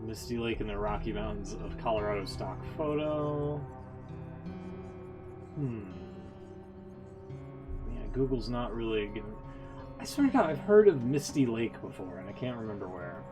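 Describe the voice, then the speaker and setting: A man's voice: on the low side, animated, moderate pace, pauses often, over music. A man streaming casual, off-the-cuff reactions to game stories in a sardonic, mock-exasperated manner.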